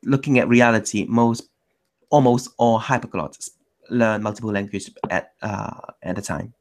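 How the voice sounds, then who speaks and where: A low-pitched man hosts an online language meetup, and his voice carries a gravelly fry. He chats loosely, often breaking off and restarting his sentences. It sounds like a computer mic on a call. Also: fairly even intonation, normal speed, slight background noise. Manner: loose, self-correcting, many restarts. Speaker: meetup host. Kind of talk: casual chat.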